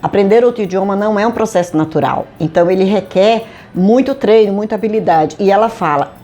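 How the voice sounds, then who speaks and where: A woman's voice: mid-range voice, brisk, warm tone. Brazilian woman from Manaus talking to camera. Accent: Brazilian Portuguese, Manaus. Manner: conversational, rhetorical questions, emphatic. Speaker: HR consultant and career advisor. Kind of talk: direct-to-camera talk addressing viewers. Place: home studio in Manaus.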